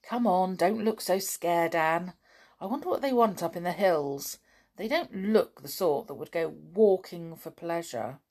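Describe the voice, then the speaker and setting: A woman's voice: fairly low pitch, a little slow, a little mellow. A woman voicing a confident, teasing schoolboy with a breezy, scornful manner.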